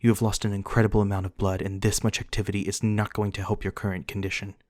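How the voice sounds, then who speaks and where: A man playing a reclusive, protective vampire lord in an ASMR roleplay, speaking formally and courteously in measured words. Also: fairly low pitch, level tone, a little mellow, dry recording, clean studio sound, lightly acted. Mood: urgent, pleading. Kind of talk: ASMR roleplay acting, addressing the listener.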